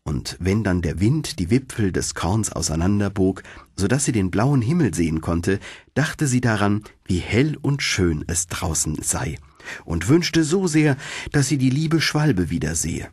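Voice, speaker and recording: A man reads a fairy tale with lively, swooping intonation. His voice is fairly low and husky, recorded close and dry. He tells it expressively, as an audiobook narrator. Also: a little slow, no room sound. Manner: expressive storytelling.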